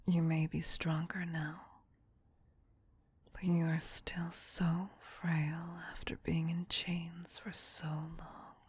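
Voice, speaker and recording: A woman voicing a tender, possessive vampire queen in a soft, breathy, soothing ASMR whisper. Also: deep voice, level tone, heavily acted. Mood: gently affectionate. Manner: slow, doting, soothing.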